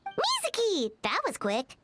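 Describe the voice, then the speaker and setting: A woman voicing a tiny, childlike fairy companion in a video game, squeaky, excitable and blunt. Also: a little slow, heavily acted. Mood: cheerful, curious. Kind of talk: English video game voice acting. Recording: game music behind the voice.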